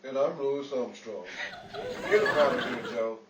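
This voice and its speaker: A man's voice: medium pitch, normal speed, natural voice. A man playing an officious, suspicious, sarcastic ballpark ticket man in a 1940s-style radio comedy. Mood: accusing, sarcastic. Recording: echoey distant mic, very loud crowd noise.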